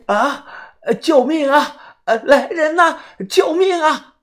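A storyteller acts out a terrified woman of about sixty from an audio story. Her voice is high and shrieking, with gasping, drawn-out cries. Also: animated, a bit bright, full character voice.

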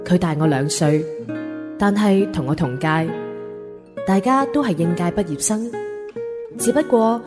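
A young office woman , played by an actress, confides her troubled love life in a radio drama. Her delivery is introspective and measured, slightly low in pitch. Long reverb surrounds the voice, with guitar music clearly playing behind it. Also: natural voice. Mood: reflective. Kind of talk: first-person radio drama narration. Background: plucked guitar music.

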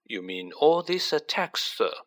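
A man's voice: a little high, big pitch swings, measured pace, voice pushed up. A man voicing a nervous, polite teenage orphan schoolboy with an earnest, hesitant manner in a storybook reading. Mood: tense, hopeful.

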